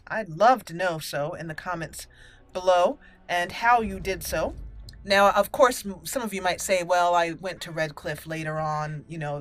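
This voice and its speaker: A woman hosting a gaming channel, giving casual, playful commentary on a roleplay game in a chatty way. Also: lowish voice.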